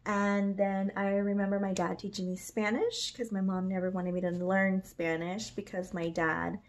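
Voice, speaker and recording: A woman tells a personal story straight to camera. Her voice sits at an ordinary middle pitch. She talks loosely and wanders, packing her sentences with "like" and filler words. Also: flat delivery, normal speed. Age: 30s. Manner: rambling, many "like" fillers, laughs a lot. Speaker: vlogger.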